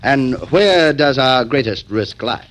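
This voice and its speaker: A man's voice: medium pitch, lively intonation, slow pace, a bit bright. A man playing a smug crime syndicate boss who lectures like a company executive, in 1940s radio acting style. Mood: smooth, lecturing. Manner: pompous, lecturing, businesslike. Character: smug male murder-syndicate boss talking like a businessman. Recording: vintage 1940s radio recording.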